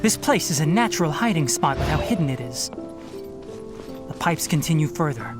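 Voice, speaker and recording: A man's voice: a little high, lively intonation, moderate pace, close to an everyday voice. A man voicing a composed, analytical young male forest ranger and scholar in a video game. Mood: calm. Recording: game audio with faint music.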